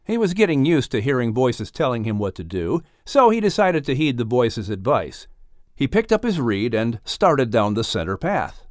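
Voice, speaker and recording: Expressive male storybook narrator, animated pitch. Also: normal speed, no room sound, clean studio sound. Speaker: audiobook narrator.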